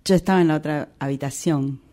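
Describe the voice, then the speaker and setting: An actress in a radio theatre exercise playing a calm, evasive wife, unhurried, with an Argentine accent. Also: female voice, low voice, lightly acted. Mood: quietly pointed. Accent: Argentine, Rioplatense. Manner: unhurried, casual, pausing. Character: calm, evasive wife quietly holding her own secret. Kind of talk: radio theatre workshop acting.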